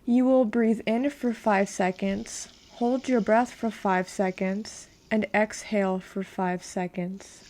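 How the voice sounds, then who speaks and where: A woman narrating a guided meditation in a calm, measured, instructional way. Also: mid-range voice.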